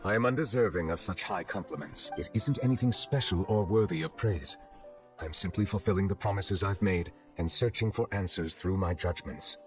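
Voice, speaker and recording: A man voicing a dignified, reserved chief justice in an anime game, speaking formally in a measured, humble manner. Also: low-pitched, natural voice. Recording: background music under the voice.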